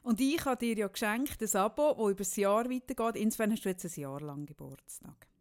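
A middle-aged woman, chatting casually as a podcast co-host in a teasing, animated storytelling manner. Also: mid-range voice, brisk. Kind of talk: casual chat with a friend.

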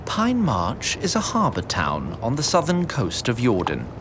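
A man's voice: medium pitch, wildly swooping pitch, unhurried, background noise. A man narrating a fantasy audio drama in a theatrical, formal storybook style. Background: music and sound effects.

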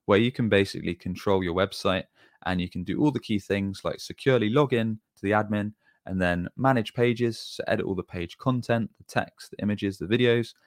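Male tutorial presenter, lower register, informal explainer, very dry recording. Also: fairly quick, no room sound. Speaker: web design agency presenter.

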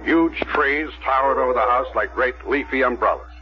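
An actor playing a methodical, persistent police detective with an American accent, measured and matter-of-fact, in a radio drama. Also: male voice, mid-range voice, a little hiss or hum, close to an everyday voice. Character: methodical, persistent male police detective narrating his case. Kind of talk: 1970s radio drama narration and dialogue. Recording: muffled old radio recording.

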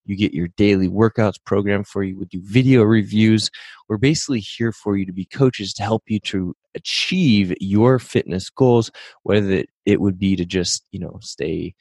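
A man with a hoarse voice that is starting to give out, talking through his fitness podcast with upbeat, pep-talk energy. His voice sits a little low, and the sound is dry and close with no room around it. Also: moderate pace, a little mellow, no room sound. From Pacific Northwest, USA. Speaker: podcast host and fitness coach. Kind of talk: casual solo podcast talk with sponsor reads.

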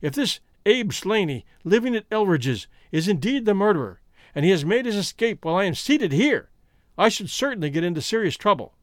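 Man playing an anxious, high-pitched police inspector. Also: very animated, a little fast, no echo, clean studio sound. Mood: worried. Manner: formal, fretful, questioning.

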